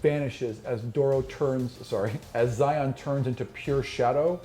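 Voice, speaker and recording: Man narrating fantasy over loud music. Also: mid-range voice, steady tone, a little slow. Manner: dramatic, descriptive storytelling. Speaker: game master. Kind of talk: narrating a tabletop fantasy game.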